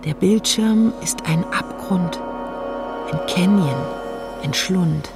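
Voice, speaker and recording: An actress playing an entranced woman helplessly drawn toward something, in a slow radio play monologue. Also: on the low side, loud music behind the voice.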